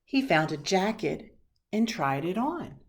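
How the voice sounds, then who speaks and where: A woman reading a children's picture book aloud expressively, with dramatic pauses and hushed asides. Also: lowish voice, sing-song, breathy edge. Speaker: storybook reader.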